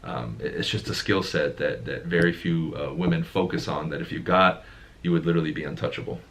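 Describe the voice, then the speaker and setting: A man with a deep voice, talking casually as a sales coach and stressing his points with repeated words. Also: fairly quick, fairly bright tone. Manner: emphatic, repeats words when making a point. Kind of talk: casual conversation.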